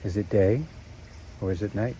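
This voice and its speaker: A man narrating a guided meditation in a slow, soothing way with long pauses. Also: on the low side, dark tone, a little hiss or hum, poor audio.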